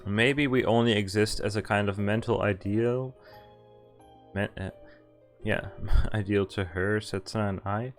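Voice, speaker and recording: A man with a fairly low voice reads a visual novel aloud as a streamer. He narrates the story and sometimes stumbles over a word. Game music plays faintly underneath. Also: steady tone, a little slow. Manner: stumbles over words while reading.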